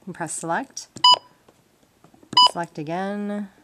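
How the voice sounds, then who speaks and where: A woman's voice: mid-range voice, fairly even intonation, takes it slowly. A woman gives an informal, practical step-by-step demonstration in a conversational, explanatory way. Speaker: instructor demonstrating a gadget. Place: indoors at a wooden table. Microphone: handheld camera mic, off screen. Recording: noisy amateur camcorder sound with clicks and beeps.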